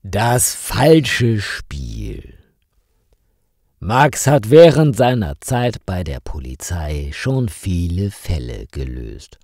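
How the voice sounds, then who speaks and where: A man reading a scripted story for language learners in a measured, carefully articulated storytelling manner. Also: deep voice, very animated, a little mellow, no room sound, clean studio sound. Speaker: narrator of stories for language learners.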